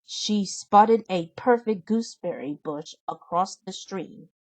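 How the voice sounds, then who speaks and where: A woman reads a children's story aloud. She takes it at a slow, measured pace, in the style of scripted storybook narration. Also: medium pitch, darkish tone, no room sound. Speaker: storybook reader.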